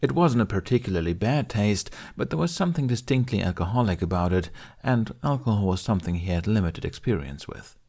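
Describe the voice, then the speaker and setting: A man's voice: lowish voice, animated, fairly quick, gravelly, few pauses, darkish tone. A man reading scripted fiction as an audiobook narrator.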